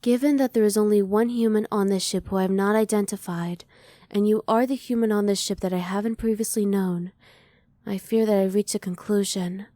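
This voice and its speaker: A reserved female alien scientist, acted by a woman for an ASMR roleplay, close and very dry. The voice is mellow and soft, with careful, formal phrasing and a cool, curious restraint. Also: mid-range voice, steady tone, brisk, no room sound, close to an everyday voice. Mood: apologetic, restrained. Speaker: voice actor.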